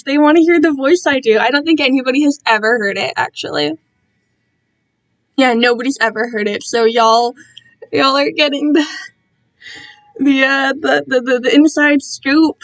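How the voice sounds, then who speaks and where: A young woman with a fairly high-pitched voice hosts a casual livestream. She talks in a loose, rambling style, animated and prone to interrupting herself as she goes. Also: normal speed. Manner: animated, self-interrupting, quotes herself and others dramatically. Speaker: livestream host and amateur fan fiction reader.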